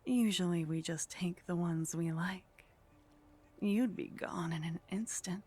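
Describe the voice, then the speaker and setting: A woman playing a teasing, condescending female vampire in a soft ASMR roleplay, languid and haughty, often slipping into whispers. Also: on the low side, measured pace, husky. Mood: coolly explaining. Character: teasing, condescending female vampire, sly, centuries-worldly contact.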